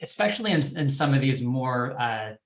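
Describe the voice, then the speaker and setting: A man's voice: medium pitch, sing-song, moderate pace. A man giving explanations as a development executive on a panel, hedging and repeating words as he thinks. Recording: echoey, roomy sound.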